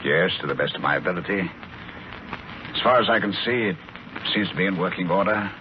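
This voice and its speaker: An actor playing a grave, haunted army colonel in a 1940s radio drama, speaking earnestly and dramatically. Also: male voice, low-pitched, lively intonation, fairly quick, background noise. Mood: gentle, steady. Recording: muffled old radio recording with music.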